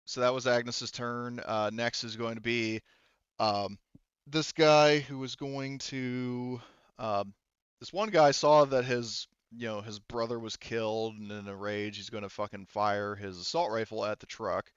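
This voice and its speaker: A man speaking as game master of a casual tabletop roleplaying game, in a halting, informal, sweary way. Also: mid-range voice, fairly even intonation, clean tone, no room sound, lo-fi sound.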